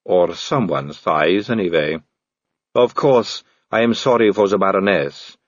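A man's voice: deep voice, unhurried, dry recording, dull audio. A man doing a cool, languid lady vampire. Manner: calm, languid, drawling. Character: female vampire aristocrat, cool and sardonic.

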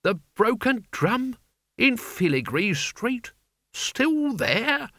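A man acts out a cantankerous old wizard from a fantasy storybook. He snaps out orders with sharp contempt, in a bright, forceful voice with very little room sound. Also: medium pitch, big pitch swings, drawn-out words, dry recording, clean studio sound, pitched above the performer's own voice, full character voice. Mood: bossy, scornful. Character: bad-tempered, bossy old male wizard.

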